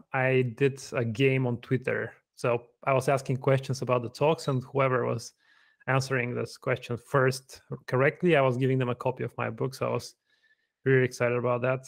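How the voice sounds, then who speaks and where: A man in his thirties speaks with a Bulgarian accent, his answers quiet and considered. His voice has a touch of gravel, heard through a desk mic on a video call from home. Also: medium pitch. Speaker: guest software engineer. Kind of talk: casual video-call interview. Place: living room at home. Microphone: desk microphone on video call.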